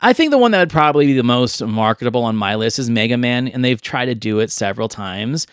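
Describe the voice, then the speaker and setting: A man's voice: mid-range voice, lively intonation, quick pace, smooth, no echo, studio quality. A middle-aged man hosting a casual film and games podcast, chatting with an enthusiastic storytelling manner. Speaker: podcast host. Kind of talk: casual chat about films and games.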